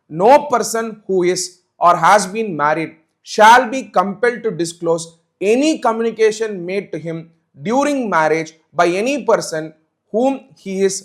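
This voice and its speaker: A man's voice: high-pitched, a bit bright. A man with a Tamil accent giving an explanatory legal talk, didactic, restating key points as he goes. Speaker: advocate presenting a legal explainer.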